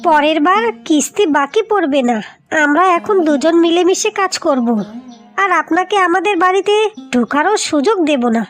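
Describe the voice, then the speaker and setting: Actress doing feisty cartoon housewife, super high voice, firm and scolding, music behind. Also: female voice, animated, normal speed. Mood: firm, defiant. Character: feisty, proud young wife defending her family home. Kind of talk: cartoon dialogue.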